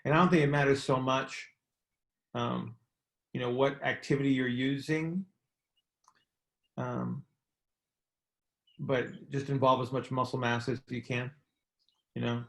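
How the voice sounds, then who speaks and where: A man's voice: medium pitch, fairly even intonation, unhurried, pauses often, fairly bright tone, reverberant. A man giving conversational expert advice as a coach on a group call, explaining things and checking in with rhetorical questions. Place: indoor room, on a conference call.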